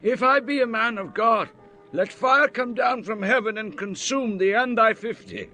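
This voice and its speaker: An actor playing a stern prophet of God in a dramatized scripture reading, solemn and declamatory in archaic phrasing. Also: male voice, sits high, normal speed, sharp tone, soft background music. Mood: commanding, stern.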